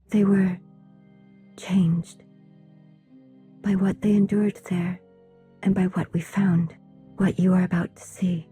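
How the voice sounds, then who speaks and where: An actress playing a solemn, secretive mystic in a game cutscene, husky and breathy, speaking in a measured, formal way. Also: female voice, on the low side, dark tone. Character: solemn mystic seer, grave and secretive woman. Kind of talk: dramatic video game cutscene acting.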